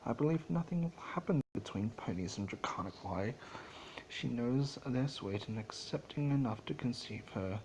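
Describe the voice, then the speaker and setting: A man's voice: medium pitch, animated, moderate pace, darkish tone, lightly acted, low-quality recording. An earnest, regal mare, as a man reads her speaks formally to a sister in a storybook tale. There is faint noise in the recording. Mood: sharp, earnest.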